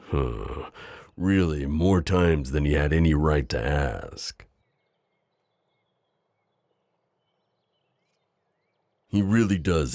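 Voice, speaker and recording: Man acting very deep, gravelly courtly knight. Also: darkish tone, no room sound, clean studio sound, heavily acted. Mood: reassuring, coaxing. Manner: formal, courtly, tender, hesitant. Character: protective, possessive gallant knight, mentor to a princess. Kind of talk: intimate roleplay audio acting.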